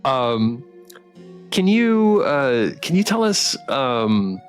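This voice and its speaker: A man hosting a casual livestream interview, asking questions in a halting, appreciative way. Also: mid-range voice, very animated, faint music behind. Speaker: interviewer and stream host.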